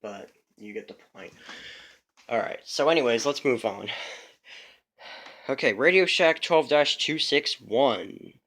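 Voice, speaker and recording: A man's voice: mid-range voice, lively intonation, measured pace, pauses often, fairly bright tone. A man talks casually about his radios in a home room, recorded on a phone. He rambles, fills gaps with ums, and restarts sentences as he corrects himself. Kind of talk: casual show-and-tell vlog. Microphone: phone camera.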